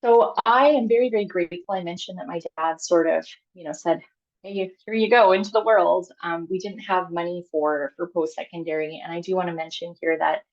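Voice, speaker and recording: Low, gravelly woman telling her story. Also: steady tone, brisk, lo-fi sound. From Canada. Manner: candid, chatty, many fillers and restarts. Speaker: guest speaker. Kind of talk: personal talk on a video call.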